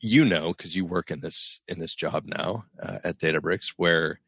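A middle-aged man, co-host of a podcast, with a deep voice, talking in a rambling, self-deprecating way with many restarts. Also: normal speed, fairly bright tone, breathy edge, no echo, studio quality. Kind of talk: casual podcast chat.

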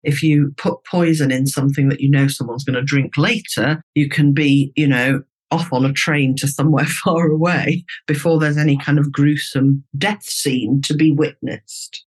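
Female interview commentator, breathy-rough voice, conversational and thoughtful explanations. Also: low voice, fairly even intonation, moderate pace, hardly any pauses, a little mellow.

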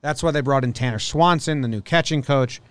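A man's voice: mid-range voice, brisk, clean tone, dry recording. A man hosting a sports podcast in a casual style, venting bluntly and swearing freely.

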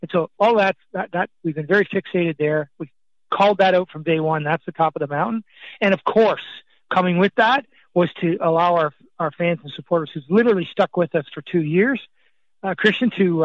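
A man's voice: a little high, dry recording. A man who grew up in Canada after being born in Scotland, a soccer league executive answering radio interview questions with many restarts and fillers. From born in Scotland, raised in Canada. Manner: halting, repeats words, many fillers. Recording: dull, dry remote line.

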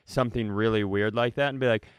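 Man, podcast co-host, smooth resonant voice, dry close sound, eager chatty. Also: lowish voice, steady tone, fast talker, dry recording. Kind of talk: casual podcast chat.

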